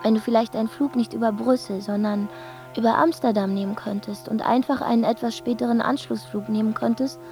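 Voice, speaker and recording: Woman acting young lovesick airport girl, yearning, creaky voice, radio drama with music behind. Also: a little high, steady tone, a little fast, dark tone, close to an everyday voice. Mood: longing. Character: lovesick young airport shift worker, pleading and longing. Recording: radio play with music underneath.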